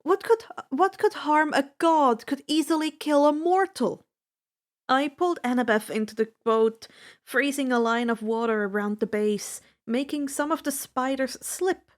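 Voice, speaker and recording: A woman reading a first-person adventure story aloud as an expressive, dramatic narrator. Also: high voice, a little slow. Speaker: audiobook-style fan fiction reader.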